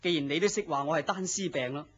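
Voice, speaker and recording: A man's voice: on the high side, fast, husky. A man with a Hong Kong Cantonese accent playing a weary, melancholy, lovesick young man in a radio drama, earnest and plaintive. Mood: weary, despondent. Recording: dull lo-fi radio drama audio.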